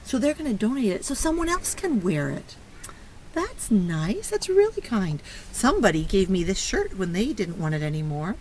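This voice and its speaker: A woman teacher reading a picture book aloud to young children, stopping to ask questions and encourage them in an expressive way. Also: medium pitch, moderate pace, rough recording. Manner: encouraging, praising child listeners; expressive, exclaiming and prompting. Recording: clear background noise.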